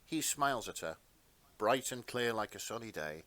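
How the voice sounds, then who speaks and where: A man narrating fan fiction as a podcast host, with expressive, sentimental storytelling. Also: on the low side, moderate pace, no echo.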